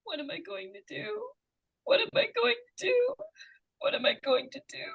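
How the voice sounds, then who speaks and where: A woman's voice: very high-pitched, animated, normal speed, vocal fry, heavy background noise, exaggerated voice. A woman playing a desperate, insistent young girl in an old-time radio suspense drama, urgent and pleading. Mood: desperate, distraught. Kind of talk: 1940s radio suspense acting.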